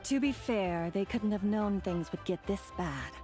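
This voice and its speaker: A woman's voice: medium pitch, unhurried, music in the background, lightly acted. A woman voicing a worried traveling merchant in a video game who speaks earnestly. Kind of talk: video game cutscene voice acting.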